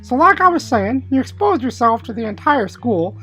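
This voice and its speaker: A man's voice: extremely high pitch, very animated, moderate pace, pushed and bright, soft background music, exaggerated voice, rough recording. A man voicing a stern, incredulous school disciplinarian with a formal manner in a comedy puppet show. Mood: stern, baffled.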